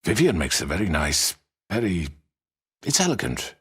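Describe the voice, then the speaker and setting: A menacing video game crime lord. His voice is very deep and husky, and it glides up and down expressively. He speaks with formal, unctuous courtesy, suave but threatening. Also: huge pitch swings, fairly bright tone, heavily acted. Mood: falsely hospitable. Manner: unctuous, formal, measured politeness. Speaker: crime boss. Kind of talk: dramatic video game acting.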